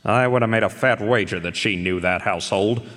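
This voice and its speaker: A man's voice: on the low side, a bit bright, natural voice. A man playing a self-assured private eye, narrating wryly in noir style in a live radio drama. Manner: wry, measured, storytelling. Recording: echoey concert hall with music.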